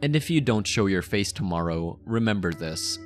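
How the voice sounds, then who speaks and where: A menacing, possessive mafia boss played by a man speaks in a fairly low voice over background music. He is playful and teasing, with a theatrical ASMR roleplay delivery. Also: animated. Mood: commanding, menacing. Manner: slow teasing, sinister chuckles, theatrical.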